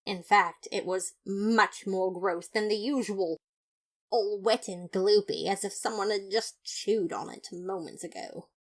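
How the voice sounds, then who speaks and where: A woman's voice: medium pitch, steady tone, a little slow. Woman as a pompous, exasperated detective narrator. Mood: disgusted. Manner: theatrical, haughty, disapproving. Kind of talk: first-person scripted story narration.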